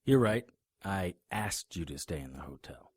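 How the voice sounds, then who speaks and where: An actor playing a brooding, wry investigator who narrates a noir-style audio drama in a dry, hard-boiled manner. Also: male voice, deep voice, lively intonation, moderate pace, dry recording. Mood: matter-of-fact. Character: brooding, wry, stubborn male supernatural investigator. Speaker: narrator and lead character.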